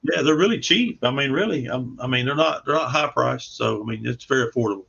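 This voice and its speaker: A man with an average-pitched voice talks as a guest creator in a casual interview. He is modest and plainspoken in manner. The sound is dull and lo-fi, as on a video call. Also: lively intonation, fast talker, vocal fry, fairly bright tone, husky. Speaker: comic book creator, podcast guest.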